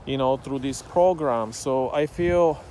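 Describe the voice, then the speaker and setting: Slow, mellow man from Bulgaria. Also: medium pitch, a little hiss or hum. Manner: slow, thoughtful, many "um" pauses. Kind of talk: casual talk to camera.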